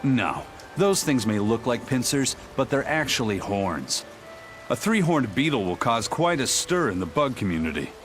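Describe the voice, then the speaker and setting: Um ator interpretando um capitão pirata severo e orgulhoso, de fala firme e professoral. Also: male voice, medium pitch, sing-song, normal speed, soft background music, natural voice. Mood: stern. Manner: stern, lecturing. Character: stern proud male pirate captain. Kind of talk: game dub acting.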